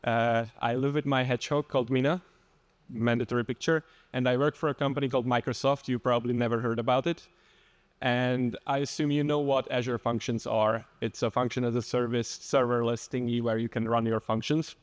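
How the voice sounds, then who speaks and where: A man with a Czech accent gives an informal technical talk at a conference. He is plain-spoken, with a dry joking streak. His pitch sits in a middle range for a man. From Czech Republic. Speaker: conference lightning talk speaker.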